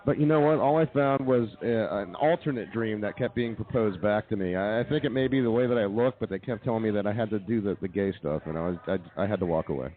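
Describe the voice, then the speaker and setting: A man's voice: on the low side, fast, hardly any pauses. An American man hosting a comedy radio show, telling wordy, mock-confessional stories in casual banter. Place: live remote broadcast at a brewery. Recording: tinny, phone-like sound.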